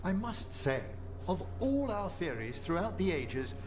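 High-voiced, courteous male scholar. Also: sing-song. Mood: scholarly, measured. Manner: formal, precise, measured diction. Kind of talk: video game dialogue acting. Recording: game music behind the voice.

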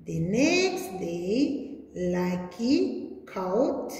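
A young adult woman preschool teacher reading a story to small children in a deliberate, singsong way, recorded in a classroom. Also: mid-range voice, sing-song, a little mellow. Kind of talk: children's read-aloud story lesson. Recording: very echoey hard-walled room.

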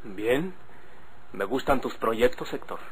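A man's voice: medium pitch, animated, takes it slowly, husky, full character voice, low-quality recording. A polite, careful suitor of means in an old radio drama. He sounds earnest. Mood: grateful. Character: earnest, cautious, polite wealthy bridegroom. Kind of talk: golden-age Mexican radio drama acting.

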